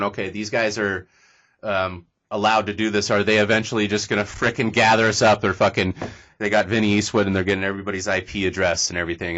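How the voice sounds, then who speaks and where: A man's voice: deep voice, fairly bright tone, lo-fi sound. A man from California hosting a podcast, rambling loosely with casual swearing. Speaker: podcast host.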